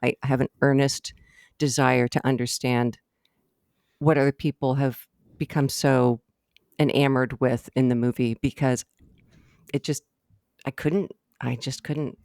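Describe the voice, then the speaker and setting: A woman's voice: a bass voice, fairly even intonation, unhurried, pauses often, darkish tone, no echo, studio quality. Earnest woman co-host, emphatic. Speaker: podcast co-host. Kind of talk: casual podcast chat.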